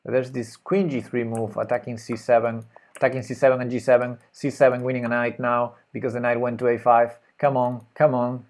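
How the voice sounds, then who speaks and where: A man with a Spanish accent plays chess live and talks through his moves as he goes. His voice sits at a middling pitch, and he mutters and hesitates while he thinks, often trailing off into quiet asides. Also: little pitch movement, a little fast. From Spain. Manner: thinking aloud, hesitant, muttering move names. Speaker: chess streamer.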